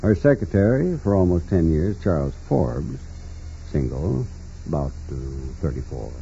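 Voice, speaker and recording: An actor playing a dignified, formal judge in a 1940s radio drama, speaking in a measured, courteous and hesitant way. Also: male voice, deep voice, sing-song, creaky, mellow, soft background music, heavily acted. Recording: muffled, noisy 1940s radio recording.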